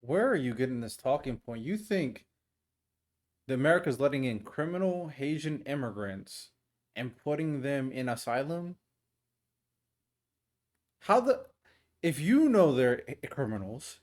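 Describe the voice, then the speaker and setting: A man hosts a reaction video, cutting in with blunt and dismissive rants. His pitch swings widely as he talks. He swears freely and calls people "bro" all the time. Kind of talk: casual ranting commentary.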